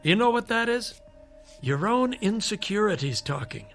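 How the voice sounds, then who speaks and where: A fatherly doctor in a radio drama, full of measured reassurance and skeptical condescension. His voice sits high for a man, with faint music behind it. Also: big pitch swings, a bit bright, heavily acted. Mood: firm persuasion. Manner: measured, patronizing, rhetorical persuasion. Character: male doctor, persuasive, skeptical, fatherly toward his patient.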